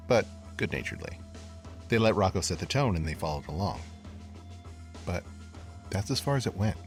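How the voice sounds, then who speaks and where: Brooding male investigator, low-pitched, measured and confiding horror-podcast narration, clear music underneath. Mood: grave, brooding. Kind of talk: scripted first-person horror podcast narration.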